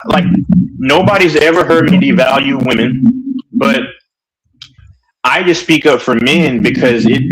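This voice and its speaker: A man on a livestream debate, talking in an animated, emphatic way with many restarts. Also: mid-range voice, poor audio. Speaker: streamer.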